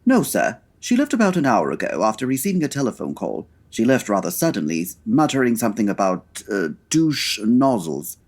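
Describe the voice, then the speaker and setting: A formal butler in a comedy audio drama. His voice is husky with a very lively rise and fall. He is discreet, polite and prim. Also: mid-range voice, moderate pace, hardly any pauses. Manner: polite, proper, hesitant over slang.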